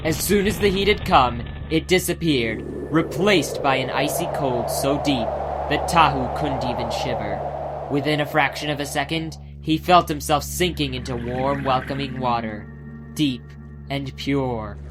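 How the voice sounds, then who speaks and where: A man's voice: medium pitch, a little slow. A man giving a scripted, dramatic narration of a fantasy audiobook. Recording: music behind the voice.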